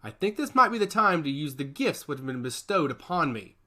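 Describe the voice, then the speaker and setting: A man's voice: on the high side, sing-song, a little fast, sharp tone, full character voice. A man voicing a brash, cocky augmented fighter who talks with swagger and defiance. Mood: confident. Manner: confident, defiant.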